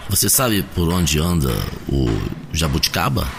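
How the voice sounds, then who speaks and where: A man's voice: low-pitched, animated, unhurried, vocal fry, heavily acted. A man playing a nostalgic veteran circus clown with a Brazilian accent in an audio drama, chatting with an old friend. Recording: music behind the voice.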